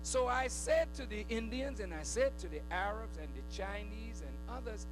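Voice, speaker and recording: A man's voice: sits high, expressive, moderate pace, over music, poor audio. A man preaching in a fiery oratorical style, speaking emphatically in short phrases and prodding his listeners with questions. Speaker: preacher addressing a congregation. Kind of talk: fiery oratory, sermon-like public speech.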